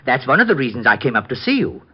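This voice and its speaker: An actor playing an eccentric, eager little crackpot in a 1940s radio comedy, husky and earnestly confiding. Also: male voice, medium pitch, sing-song, very fast. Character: eccentric, eager little crackpot man, tall-tale teller. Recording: dull old-time radio recording.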